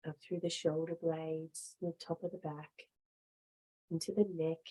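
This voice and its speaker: A woman teaching yoga, guiding relaxation and meditation in short, measured phrases with long pauses. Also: low voice, flat delivery, dark tone, slightly rough, poor audio. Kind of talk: guided relaxation and meditation instruction.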